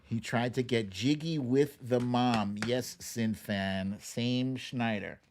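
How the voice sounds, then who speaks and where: A man's voice: medium pitch, steady tone, slow pace, a little mellow, a little hiss or hum. A man hosting a live online guessing game, chatting casually and playfully with his audience in an informal way. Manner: playful, informal, chuckling between remarks. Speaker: livestream host running a song-guessing game. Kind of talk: casual live chat with audience, reading out viewer names.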